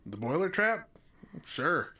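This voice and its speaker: A man's voice: fairly high pitch, very animated, takes it slowly, sharp tone, husky, full character voice. Folksy small-town handyman. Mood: friendly, folksy. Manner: folksy, wry, easygoing. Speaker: actor in audio drama. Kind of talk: naturalistic radio drama acting.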